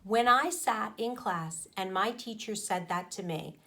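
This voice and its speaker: Female schoolteacher, mid-pitched, expressive and emphatic, for children's read-aloud and explanation. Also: a little slow, very noisy. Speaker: teacher reading aloud to pupils. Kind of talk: storybook narration and explaining to children.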